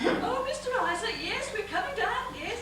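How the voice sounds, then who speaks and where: A woman plays a fretful, melodramatic mother of the bride who spirals into panic, her very high voice leaping wildly. She is caught from a distance in a heavily echoing space, with clear noise underneath. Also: animated, normal speed. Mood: nervous fretting. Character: frantic middle-aged mother of the bride. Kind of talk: stage comedy acting. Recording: echoey stage recording from far off with noise.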